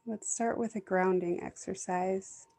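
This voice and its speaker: Meditation guide, female, soothing and even-toned with creaky vocal fry, unhurried delivery. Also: mid-range voice. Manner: gentle, soothing, unhurried with long pauses.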